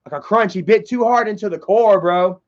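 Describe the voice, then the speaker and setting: High-voiced profane man, rambling story. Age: 30s. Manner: profane, rambling, lots of fillers and repeats. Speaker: livestreamer. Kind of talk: casual rambling storytelling on a livestream. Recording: dull lo-fi stream audio.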